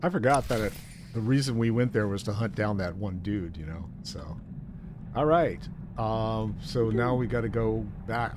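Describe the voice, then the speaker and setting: A man chatting casually as he plays a video game on a live stream, narrating what he does. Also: fairly low pitch. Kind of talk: casual live gameplay chat. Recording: stream with clear background noise.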